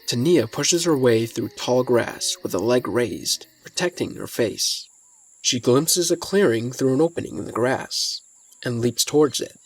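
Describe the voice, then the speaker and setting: A man's voice: medium pitch, animated, measured pace, no echo, rough recording. A man narrating a scripted fantasy story in the present tense as its narrator. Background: faint music.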